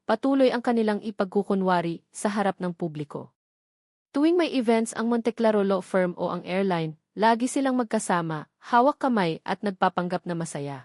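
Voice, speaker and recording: A woman's voice: medium pitch, a little mellow, no echo, clean studio sound. A woman reading a scripted romantic drama as a story narrator.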